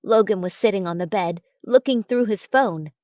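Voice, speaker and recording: A woman reading a first-person story as a narrator, in an expressive way. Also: medium pitch, moderate pace, dry recording, clean studio sound. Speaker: audiobook narrator.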